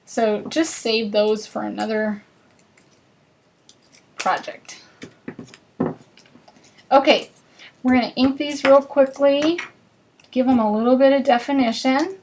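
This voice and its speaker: Woman, northern US accent, bubbly live craft-class host, slightly high voice. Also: takes it slowly, pauses often, a little mellow, a little hiss or hum. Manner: chatty and bubbly, chatting with viewers while working.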